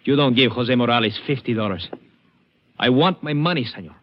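An actor playing a humble but proud sharecropper with a Mexican accent, halting, polite and earnest, in a 1950s radio drama. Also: male voice, mid-range voice, expressive, natural voice. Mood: proud, insistent. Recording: dull, noisy vintage radio recording.

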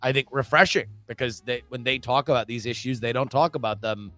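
Animated man who stammers at times. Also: mid-range voice, a little fast, soft background music. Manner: animated, talks himself into emphatic bursts. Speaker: podcast co-host. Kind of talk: casual political chat.

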